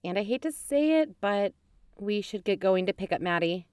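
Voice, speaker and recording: A woman playing a sincere, troubled young woman in an audio drama, speaking and narrating in a measured, natural way. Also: mid-range voice, lively intonation, a little mellow, smooth, dry recording, studio quality, close to an everyday voice. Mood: resigned.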